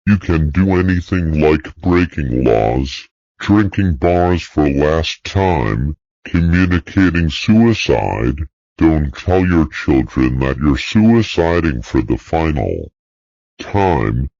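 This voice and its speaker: A man's voice: very deep voice, animated, takes it slowly, a little mellow, no echo. A man as an emergency announcer reading a scripted doomsday broadcast in a solemn, synthetic way. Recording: dull lo-fi audio.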